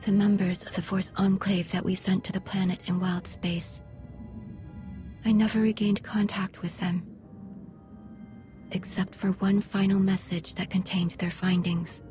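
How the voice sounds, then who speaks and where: Actress as grave female mystic, composed, formal, reserved, mid-pitched, scripted game dialogue. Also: flat delivery, dark tone, soft background music, lightly acted. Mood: solemn, troubled. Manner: measured, formal, reserved phrasing.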